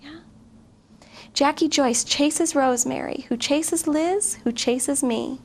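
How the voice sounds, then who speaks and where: High, creaky-voiced woman reading a storybook. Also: slow. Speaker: guest story reader. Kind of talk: expressive picture-book read-aloud to children. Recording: faint background noise.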